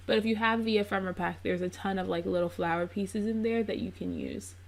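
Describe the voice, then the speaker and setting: A woman's voice: mid-range voice, creaky. A woman narrating a crafting video in a casual, chatty voice-over. She sounds congested and under the weather. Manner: chatty and relaxed, with frequent ums. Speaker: scrapbook crafter narrating her process video.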